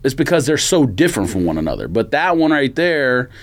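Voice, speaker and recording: A man's voice: medium pitch, big pitch swings, fairly quick. A man on a podcast panel, debating casually in a blunt, opinionated way.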